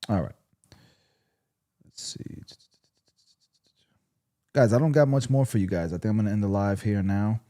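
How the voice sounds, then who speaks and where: A man with a low, warm voice hosts a livestream from his own setup. He talks in a blunt, laid-back way. The sound is dry and close. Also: moderate pace, long pauses, no echo. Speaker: livestream host. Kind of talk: casual livestream chat.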